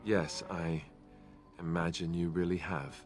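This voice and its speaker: An actor playing an earnest, grieving young warrior in a video game, speaking in a halting, sincere, subdued way. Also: male voice, low voice, little pitch movement, faint music behind. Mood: grieving, regretful. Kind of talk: video game character acting.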